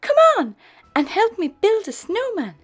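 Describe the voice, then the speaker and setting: Lady doing a bossy giggly lil animal girl, storybook voice, way high, swoopy, soft music. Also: very animated, a little slow, exaggerated voice. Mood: coaxing. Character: giggly, bossy young female animal friend. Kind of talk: children's storybook character voice. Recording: music behind the voice.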